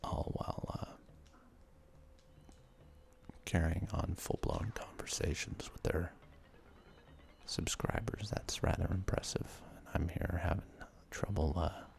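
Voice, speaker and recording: A man whispers game commentary in an ASMR style, his voice heavily creaky and low. He speaks slowly and haltingly, dropping in casual asides as he hosts. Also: darkish tone. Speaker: gaming video host.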